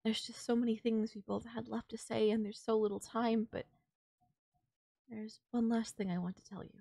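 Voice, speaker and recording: A woman's voice: a little high, little pitch movement, normal speed, creaky, no echo. A woman playing a tender, reassuring alien girlfriend in an intimate, bittersweet romantic audio roleplay. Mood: comforting, wistful. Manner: soft-hearted, reassuring, gently teasing, addressing a lover. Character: tender alien girlfriend saying a sad goodbye.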